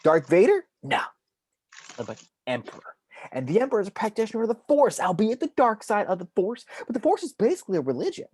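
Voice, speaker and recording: A man's voice: high-pitched, very animated, fast talker, a little mellow, breathy edge, dry recording, studio quality, heavily acted. A man acting a fervent, conspiratorial theorist who lectures emphatically with rhetorical questions in a read-through of a film script. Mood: fervent, lecturing. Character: fervent, conspiratorial male ranter with a pet theory.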